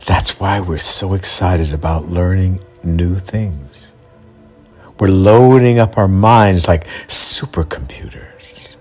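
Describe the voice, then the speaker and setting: A man narrating a bedtime story for children as a guide, in a measured, deliberate delivery with pauses mid-sentence. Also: low voice, a little mellow, breathy edge. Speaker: bedtime storyteller and mindset coach. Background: background music.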